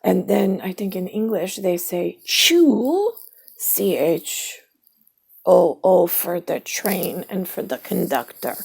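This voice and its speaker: Woman vlogger, very husky and gravelly, slow, drifting monologue that keeps spelling words out. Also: fairly low pitch, expressive, a little mellow. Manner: spells words out letter by letter, drifts between topics; often drops to a half-whisper. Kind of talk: rambling stream-of-consciousness monologue.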